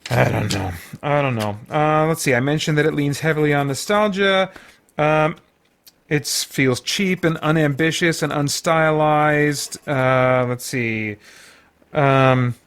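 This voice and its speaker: A middle-aged man with a slightly high voice talks through an off-the-cuff review. He is hesitant and rambling, often doubling back to rephrase what he has started to say. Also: slow, a bit bright, clean tone. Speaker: movie reviewer and host. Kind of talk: casual unscripted spoken movie review.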